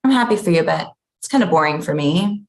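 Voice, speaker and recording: A woman reading the part of an aloof, blunt managing editor in a deadpan, conversational comedy table read. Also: deep voice, brisk, creaky. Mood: bored, blunt. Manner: deadpan, unimpressed.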